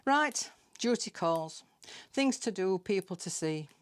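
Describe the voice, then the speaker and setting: A woman's voice: medium pitch, expressive, takes it slowly, clear, resonant tone. A British woman reading a scripted comic monologue in a dry, chatty, confiding manner, like gossip. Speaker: storyteller reading own comic tales.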